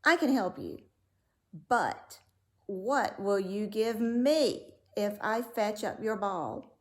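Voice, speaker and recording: A woman voicing an earnest, pleading talking frog in a storybook, bargaining slowly. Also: mid-range voice, lively intonation. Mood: coaxing. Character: ugly talking frog, pleading suitor to a princess. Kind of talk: storybook read-aloud character voice.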